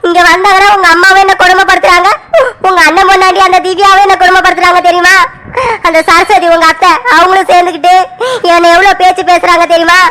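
A woman's voice: very high voice, level tone, quick pace, crowd noise. A woman playing an aggrieved young wife who pleads and reproaches her husband in a family skit.

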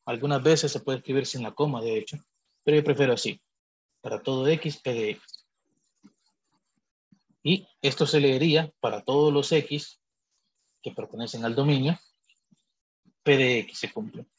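A man teaching math in a slow, deliberate way, stopping now and then to rephrase a point. His voice is slightly husky, set at an ordinary middle pitch, and recorded close on a computer mic. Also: lo-fi sound. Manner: measured, deliberate, thinks aloud with pauses and self-corrections. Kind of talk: explaining logic notation on a digital whiteboard.

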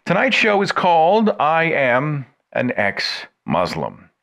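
Animated man hosting a talk podcast. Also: fairly high pitch, slow, creaky. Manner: expressive, emphatic delivery with wide swings. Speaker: podcast host. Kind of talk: scripted radio talk and interview.

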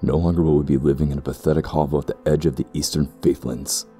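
An actor playing a stern, ambitious temple priest in a fantasy audio drama, speaking formally and gravely with a husky, gravelly voice. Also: male voice, rumbling low, steady tone, quick pace, warm tone, soft background music. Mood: indignant. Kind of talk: fantasy audio roleplay acting.

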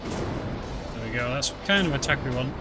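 A man's voice: mid-range voice, lively intonation, normal speed. A British man giving casual, chatty commentary while playing a game, explaining as he goes with many fillers. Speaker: game streamer. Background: game music.

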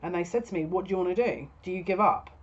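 A woman's voice: fairly low pitch, quick pace. A woman in her thirties giving a casual, spoken tarot reading to camera as a psychic reader. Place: small furnished room at home, indoors. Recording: dull lo-fi webcam sound.

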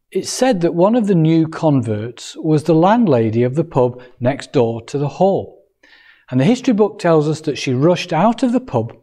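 Male preacher, 50s, mellow tone, earnest and measured sermon delivery. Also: medium pitch. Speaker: Salvation Army officer preaching. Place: church hall, indoors.

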